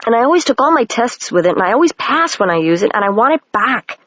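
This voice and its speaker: A bold, curious young girl wizard, read by a single narrator. She sits a bit higher than the reader's own voice. The sound is dry and muffled, like a home recording. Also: sing-song, fast talker, a bit bright, no room sound.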